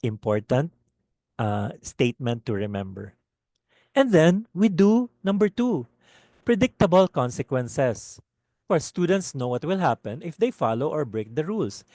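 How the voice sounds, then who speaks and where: A man with a Filipino accent and a low voice, giving a webinar lecture to teachers and often checking in with "okay?". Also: a little slow, dry recording. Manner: animated, repeats "okay?" often. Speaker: teacher trainer.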